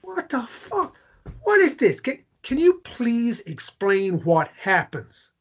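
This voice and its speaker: Man acting a husky, blunt, protective man. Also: extremely high pitch, wildly swooping pitch, slow pace, heavily acted. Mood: incredulous, pressing. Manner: blunt, probing, stammering repetitions. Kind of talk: naturalistic drama table read.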